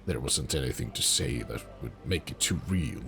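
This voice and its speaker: Very deep, gravelly male narrator. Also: expressive. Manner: slow, deliberate, brooding. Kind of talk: dramatic fantasy audiobook narration. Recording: music under the narration. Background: music.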